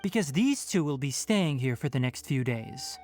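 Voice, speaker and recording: A composed young man, an exacting forest ranger master in a video game. The voice sits low but moves in a lively, articulate, instructive way. Faint music runs underneath. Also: normal speed. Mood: brisk.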